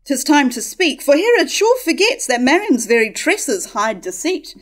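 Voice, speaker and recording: A woman's voice: high voice, sing-song, normal speed. A woman in her thirties playing a scornful, scheming royal woman in a staged verse tragedy reading. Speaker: actor reading Herod's scheming sister in a staged play reading. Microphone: stand microphone at a lectern.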